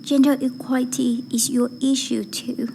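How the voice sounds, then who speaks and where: Higher-pitched woman reciting a speech evenly. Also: flat delivery, moderate pace, gravelly, warm tone, slight background noise, rough recording. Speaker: voice acting learner reading a speech. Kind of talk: rehearsed public speech recitation.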